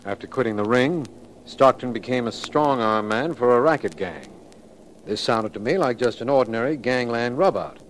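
An actor playing a skeptical, plain-spoken district attorney, speaking and narrating in 1940s radio crime drama style. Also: male voice, mid-range voice, expressive, normal speed, close to an everyday voice. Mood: skeptical, businesslike. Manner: plain-spoken, matter-of-fact. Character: brisk, skeptical male district attorney, detective's companion. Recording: noisy vintage radio recording.

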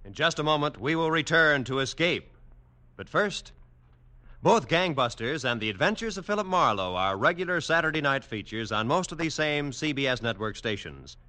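A man's voice: on the high side, lively intonation, moderate pace, bright, forceful tone. A man announcing in 1940s network radio style, polished, emphatic and formal. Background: music.

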